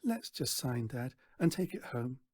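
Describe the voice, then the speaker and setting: A man voicing a young girl full of soft wonder in a storybook reading. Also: mid-range voice, big pitch swings, unhurried, dry recording, studio quality.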